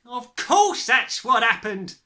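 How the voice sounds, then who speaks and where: A piercing, sharp-voiced British mother. She scolds and nags in high, exclamatory bursts that swoop wildly, full of scorn. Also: big pitch swings, slow pace, exaggerated voice. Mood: scolding. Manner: shrill, exclamatory, nagging. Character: shrieking, scolding, scornful middle-aged English mother.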